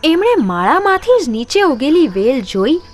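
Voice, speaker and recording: Female children's storyteller, high-pitched and very animated, music underneath. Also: normal speed. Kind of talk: expressive scripted storybook narration.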